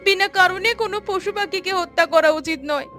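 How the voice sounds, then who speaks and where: Woman acting gentle young cartoon forest girl, very high voice, earnest, pleading, polite, soft music behind. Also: normal speed. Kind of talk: animated moral story voice acting.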